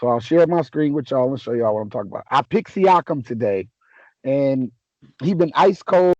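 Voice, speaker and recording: A man hosting a casual sports chat, a little raspy from a sore throat, talking in a laid-back, joking way with many restarts. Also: mid-range voice, lively intonation, moderate pace, darkish tone, no room sound, highs cut off. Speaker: podcast host.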